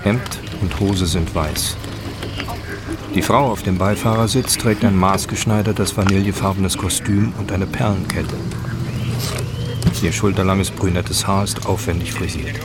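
Man, very low voice, scripted scenic narration, loud car and outdoor noise behind. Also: fairly even intonation, unhurried. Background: car engine, outdoor ambience.

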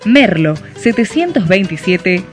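Una mujer argentina que lee una lista de servicios en un anuncio de radio con entrega nítida. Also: female voice, mid-range voice, sing-song, unhurried. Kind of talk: scripted radio ad reading. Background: music bed.

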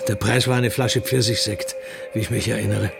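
An actor playing an awkward, bookish job applicant in a radio play, hesitant, polite and self-deprecating. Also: male voice, lowish voice, steady tone, moderate pace, vocal fry, music in the background. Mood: earnest, hesitant.

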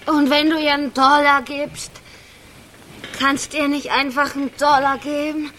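A timid young country woman in a radio play, heavy with child and pleading. Her voice is high and her words come slowly and plaintively, over a faint background noise. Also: little pitch movement, fairly bright tone. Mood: pained, pleading. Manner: slow, plaintive pleading with groans. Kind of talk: radio drama acting.

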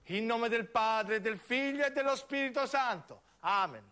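A man's voice: very high voice, lively intonation, unhurried, pushed and bright, clean tone, voice pushed up, full character voice. An actor voicing a pious, motherly nun who coaxes and chants prayers insistently in a radio play. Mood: chanting prayer. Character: pious motherly nun leading fellow travellers in rosary. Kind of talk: theatrical radio monologue.

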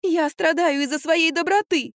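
A woman's voice: a little high, big pitch swings, normal speed, dry recording, studio quality, pitched above the performer's own voice. A woman voicing a bossy, spiteful young actress in an audiobook, snapping and whining.

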